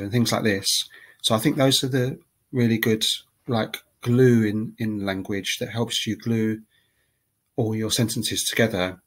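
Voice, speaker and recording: A man talking casually to camera as a language-learning vlogger, chatty and relaxed, with frequent ums and restarts as he works through numbered points. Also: fairly low pitch.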